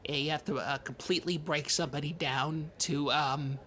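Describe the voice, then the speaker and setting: A performer playing an odd, naive, insecure podcast sidekick, rambling and halting in improvised comedy banter. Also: mid-range voice, steady tone, fairly bright tone, close to an everyday voice. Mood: wistful. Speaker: podcast co-host.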